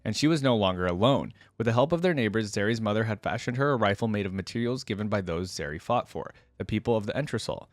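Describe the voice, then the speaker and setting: A man's voice: on the low side, expressive, quick pace, hardly any pauses, no echo, clean studio sound. A man reacting and reading a story aloud, moving between narration and casual, informal commentary. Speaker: reaction video host and reader.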